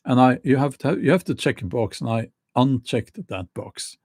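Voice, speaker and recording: A middle-aged man with a Norwegian accent talking casually to camera, slow and halting with many restarts. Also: medium pitch, lively intonation, a little mellow, slightly rough, dry recording, clean studio sound. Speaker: YouTuber.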